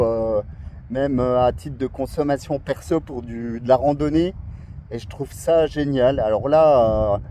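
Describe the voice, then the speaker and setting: Male radio hobbyist, soft mellow tone, enthusiastic casual chat, faint outdoor noise behind. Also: on the high side. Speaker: amateur radio operator filming a video of his radio contact. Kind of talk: casual, chatty radio conversation. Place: outdoors at a scenic coastal spot.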